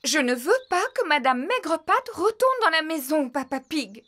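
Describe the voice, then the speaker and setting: High-voiced, fussy mummy pig. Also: female voice, big pitch swings, moderate pace, background noise. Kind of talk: children's cartoon dubbing.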